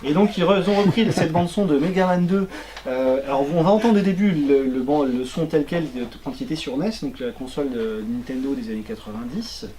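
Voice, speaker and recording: A man's voice: on the high side, brisk, crowd noise. A man hosting a radio show, chatting casually and joking as he presents.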